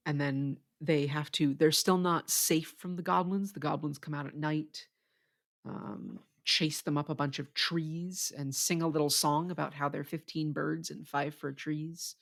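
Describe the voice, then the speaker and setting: A podcast co-host explaining things in a measured way during a casual literary discussion. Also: mid-range voice, flat delivery, creaky, fairly bright tone.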